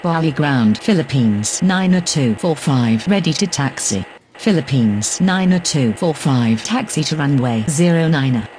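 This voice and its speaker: A man's voice: on the high side, animated, a little slow, a little mellow, slight background noise. A man voicing a businesslike airline pilot and controller in a game, speaking in clipped, procedural radio phrases.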